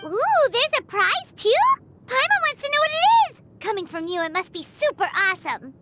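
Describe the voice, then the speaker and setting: A woman voicing a tiny, childlike fairy companion in a video game, squeaky, excitable, curious and gushing. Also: moderate pace, background noise. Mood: excited, curious. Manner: squeaky, gushing, speaks of herself by name. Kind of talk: cartoonish video game voice acting.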